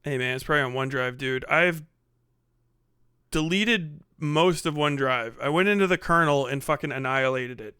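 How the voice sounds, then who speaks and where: Bright, clear-voiced man swearing on a livestream. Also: a little high, moderate pace, no echo, studio quality. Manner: profane, slangy. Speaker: livestream host. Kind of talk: casual livestream chat, reading and answering viewer comments.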